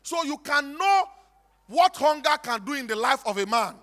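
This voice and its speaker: A man's voice: very high-pitched, animated, moderate pace, a bit bright. A Nigerian-accented man speaking to an audience as a stage storyteller in a preaching style, emphatic and repetitive, often putting rhetorical questions to his listeners. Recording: echoey hall with long reverb.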